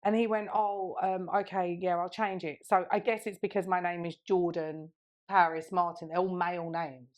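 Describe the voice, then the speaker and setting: A woman's voice: lowish voice, flat delivery, normal speed. A woman in her thirties co-hosting a podcast, telling a rambling story in casual chat and laughing often. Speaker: podcast co-host.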